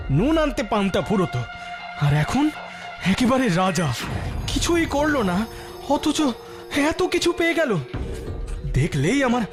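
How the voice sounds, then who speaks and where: Sly cartoon schemer, sing-song and wheedling, voiced by a man. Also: very high-pitched. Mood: scheming. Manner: sly and wheedling, stammering when caught. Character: jealous scheming man who lies, a sly villain. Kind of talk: cartoon voice acting. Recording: music playing behind.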